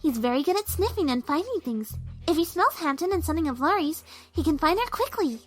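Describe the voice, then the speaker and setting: A woman voicing a gentle, kind young mare in a cartoon audio drama, helpful and coaxing in manner. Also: very high voice, very animated, brisk, soft background music. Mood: eager, helpful. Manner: soft-hearted, helpful, coaxing.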